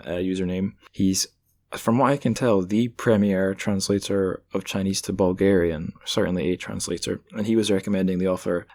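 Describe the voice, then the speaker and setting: Low-pitched man hosting a podcast. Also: darkish tone. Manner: informal, conversational. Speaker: podcast host. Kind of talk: casual podcast announcements and chat.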